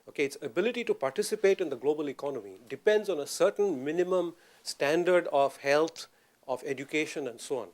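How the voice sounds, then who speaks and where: Man making a long point at a panel q&a, a bit high pitched, careful, lots of you knows. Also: lively intonation, a little mellow. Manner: thoughtful, measured, many fillers. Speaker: audience member commenting. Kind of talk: public Q&A remark.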